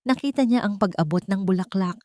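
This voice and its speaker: A woman's voice: medium pitch, fairly quick, mellow, no room sound, clean studio sound. A woman narrating a scripted romantic drama in an emotive storytelling manner.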